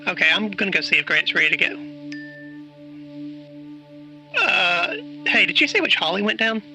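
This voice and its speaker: A man's voice: high voice, very animated, fast, sharp tone, faint music behind, full character voice, low-quality recording. A man playing an anxious, hesitant character in an audio drama, speaking in an informal, earnest way. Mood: anxious, hurried. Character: anxious, hesitant man chasing a conspiracy mystery. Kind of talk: naturalistic audio drama dialogue and first-person narration.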